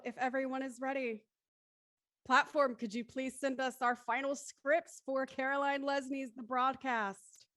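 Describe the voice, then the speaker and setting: A woman's voice: high voice, a bit bright, no echo. A woman hosting and moderating a casual group discussion. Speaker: host and moderator of a cast discussion.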